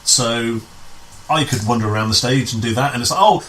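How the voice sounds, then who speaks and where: A man's voice: medium pitch, fairly quick, fairly bright tone, slight background noise, rough recording. A man telling stories casually as an interview guest, restarting and repeating phrases as he explains. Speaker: amateur pantomime director, interview guest. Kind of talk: casual conversational storytelling.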